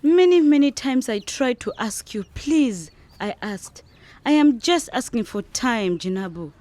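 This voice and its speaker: A distressed wife who stands her ground in a radio drama. Her voice is high and recorded dry. She pleads insistently, firm beneath the hurt. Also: lively intonation, unhurried, darkish tone, no room sound. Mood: pleading.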